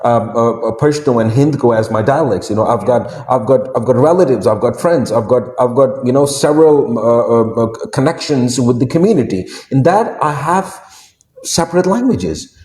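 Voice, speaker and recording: A man's voice: mid-range voice, animated, moderate pace, darkish tone. Hesitant Pakistani man in an echoey room. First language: Urdu. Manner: hesitant, repeats words, many fillers. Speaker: guest speaker. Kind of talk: interview answers.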